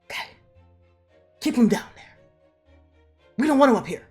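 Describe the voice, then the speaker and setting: A woman's voice: medium pitch, lively intonation, normal speed, soft background music, natural voice. A woman putting on a foul-mouthed, alarmed older scientist mother, blunt and scolding, repeating herself in a comic retelling. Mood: urgent warning.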